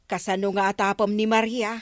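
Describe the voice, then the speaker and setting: A curious, gossiping villager acted by a woman with an Ilocano accent. Her medium-pitched voice sounds natural and close in a dry radio drama studio. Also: level tone, a little fast, no echo, lightly acted. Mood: questioning. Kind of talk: radio comedy drama acting.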